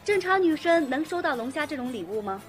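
Blunt high-voiced schoolgirl. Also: big pitch swings, fairly quick. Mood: blunt, scornful. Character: blunt, teasing teenage schoolgirl classmate. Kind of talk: audio drama acting. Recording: music playing behind.

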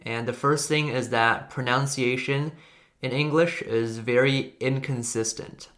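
A man's voice: medium pitch, unhurried. A young man whose first language is Chinese talks casually about learning languages. He rambles a little and often corrects himself mid-sentence. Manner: relaxed, rambling, self-correcting. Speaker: language video host. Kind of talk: casual talk to camera.

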